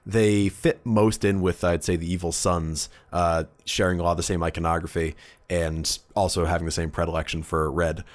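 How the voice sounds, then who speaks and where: Really low voiced guy, podcast cohost, keen hobby nerd just chatting casually. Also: flat delivery, normal speed. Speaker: podcast co-host.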